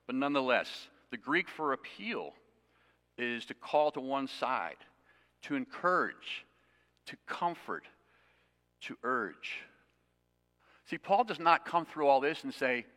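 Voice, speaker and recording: A man's voice: medium pitch, lively intonation, a little slow, long pauses, bright, forceful tone. A man preaching an expository sermon to his congregation, conversational with self-deprecating asides. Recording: long reverb of a hall.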